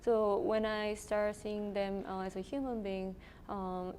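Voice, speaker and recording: Korean-accented woman in her thirties, mid-pitched, thoughtful and hesitant with frequent fillers; faint room hiss. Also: level tone, unhurried, a little mellow. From South Korea. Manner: thoughtful, with frequent ums and you-knows. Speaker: internal medicine resident. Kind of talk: interview answers.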